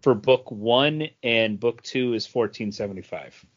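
A man's voice: medium pitch, a little slow, muffled recording. A man co-hosting a comic book podcast in casual, opinionated chat, blunt and given to swearing and acting out quotes.

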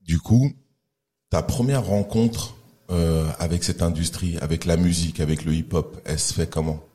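Very deep-voiced man hosting a podcast. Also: normal speed. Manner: relaxed, short prompting replies. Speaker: podcast host. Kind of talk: casual interview chat.

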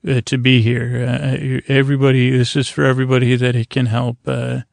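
A man hosting a bedtime podcast, rambling in a slow, languid, gentle and reassuring way with long, meandering pauses. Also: mid-range voice, completely flat delivery, gravelly, no echo. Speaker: podcast host.

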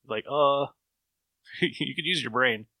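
Casting: male podcast host, forward bright tone, mid pitch, dry close sound, chatty. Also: slow, no room sound. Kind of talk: casual discussion.

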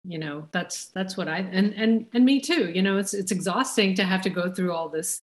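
A woman's voice: mid-range voice, moderate pace. Female guest, forties, rambling chatty storyteller with lots of fillers. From India. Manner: rambling, laughs often, many fillers. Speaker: chef and guest. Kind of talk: casual interview storytelling.

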